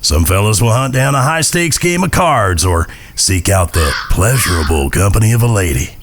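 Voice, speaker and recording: A man's voice: lowish voice, animated, moderate pace. A man playing a grave, wry Old West storyteller, narrating an audio drama in a folksy voice. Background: guitar music.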